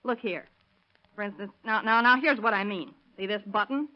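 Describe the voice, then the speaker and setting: On a muffled vintage radio recording, an actress plays a grumbling housewife. Her voice is middling in pitch, brusque and suspicious, full of complaint in the style of a 1930s crime drama. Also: normal speed, natural voice. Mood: complaining.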